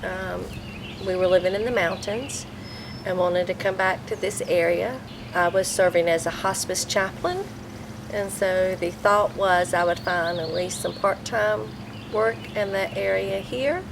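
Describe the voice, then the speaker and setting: An older woman, a vegetable farmer and grandmother, speaking softly and reflectively in a documentary interview. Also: mid-range voice, a little slow, creaky, noisy background. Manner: soft-spoken, reflective, with frequent fillers. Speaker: vegetable farmer, former hospice chaplain.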